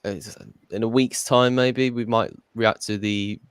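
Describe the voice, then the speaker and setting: A man's voice: mid-range voice, fairly even intonation, measured pace, clear, resonant tone, dry recording. A young man hosting a casual podcast, rambling with lots of fillers. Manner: rambling, filler-heavy, chatty. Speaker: podcast host.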